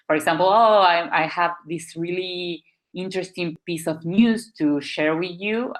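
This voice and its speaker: A woman's voice: low voice, rough recording. A woman, a marketing manager answering interview questions thoughtfully, with many fillers and restarts.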